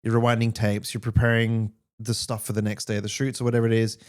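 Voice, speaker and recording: A man's voice: on the low side, flat delivery, quick pace, a little mellow. Casual Australian man telling stories. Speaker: podcast guest, comedian. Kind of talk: casual anecdotal conversation.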